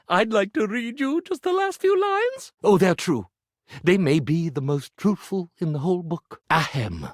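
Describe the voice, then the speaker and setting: A man's voice: high-pitched, no room sound, studio quality. A man voicing a vain, anxious iron who works as a stage magician in a video game, speaking in a pompous, theatrical showman's manner with grand flourishes. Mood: grandiose, excited. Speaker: magician and writer.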